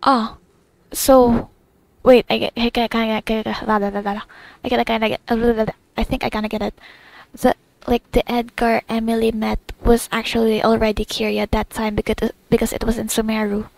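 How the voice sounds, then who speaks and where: A woman's voice: a little high, level tone, fairly quick, gravelly, no echo. A woman giving casual game playthrough commentary, rambling and thinking aloud in fragments, close to the mic.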